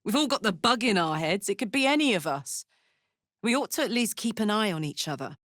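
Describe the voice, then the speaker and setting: A woman's voice: mid-range voice, expressive, fairly quick, fairly bright tone, no echo, studio quality. A woman playing a brash, battle-hungry warrior with a big heart in a video game, speaking informally and emphatically. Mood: grave, earnest. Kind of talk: video game character voice lines.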